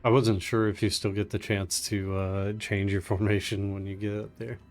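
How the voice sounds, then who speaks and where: A man's voice: lowish voice, flat delivery, moderate pace, a little mellow. A man chatting casually and jokingly, with some self-deprecation, as he plays a game on a live stream, with game music behind him. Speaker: speedrunner playing live.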